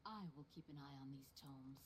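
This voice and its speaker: A woman's voice: very deep voice, fairly quick, slight background noise. An actress voicing a confident, combative and commanding female video game character. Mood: measured, secretive.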